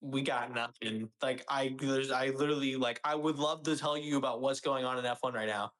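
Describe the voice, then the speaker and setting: A young man chatting as a podcast co-host, blunt and loose, dropping curse words and "dude" and "bro" as he goes. His pitch sits at an ordinary middle level. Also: steady tone, brisk, a bit bright. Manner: blunt, swears freely, says dude and bro. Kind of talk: casual podcast chat.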